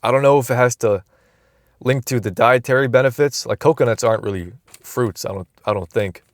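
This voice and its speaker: A man's voice: medium pitch, a little fast, no echo, studio quality. A young man vlogging from inside a car, musing aloud in a loose, rambling way with frequent restarts, recorded on a phone camera. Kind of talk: casual rambling vlog.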